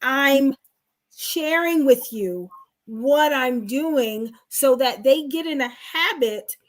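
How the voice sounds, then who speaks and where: A woman's voice: high voice, expressive, takes it slowly, low-quality recording. A woman co-hosting a live online talk show, explaining as a sex therapist in an earnest, teaching manner and asking her guest questions.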